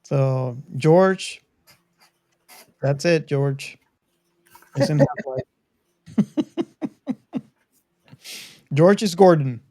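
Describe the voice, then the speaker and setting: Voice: medium pitch, drawn-out words, long pauses, mellow, dry recording. A podcast host talking casually about a film, with rambling asides to listeners. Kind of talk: casual movie discussion.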